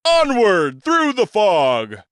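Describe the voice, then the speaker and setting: A man's voice: high-pitched, wildly swooping pitch, extremely slow, fairly bright tone, no echo, studio quality, pitched above the performer's own voice, exaggerated voice. A man voicing a pompous, grandiloquent older male shop proprietor who speaks in flowery, old-fashioned phrases. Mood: exuberant.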